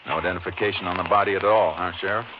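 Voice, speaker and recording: An actor playing a calm, methodical Texas Ranger in a 1950s radio drama, asking terse, matter-of-fact questions. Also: male voice, low voice, rapid-fire, background noise, lightly acted. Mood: businesslike. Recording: muffled old radio recording.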